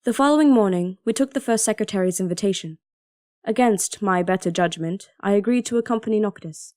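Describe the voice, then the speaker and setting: A woman's voice: mid-range voice, a little fast, dark tone, close to an everyday voice. A woman acting in an audio drama as a formal, self-doubting young princess with an earnest manner. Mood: reflective.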